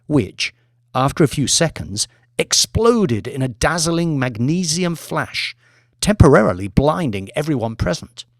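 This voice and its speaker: Male narrator for dramatic fiction audiobook: mid-pitched, measured, articulate storytelling, dry studio-style sound. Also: gravelly, no room sound.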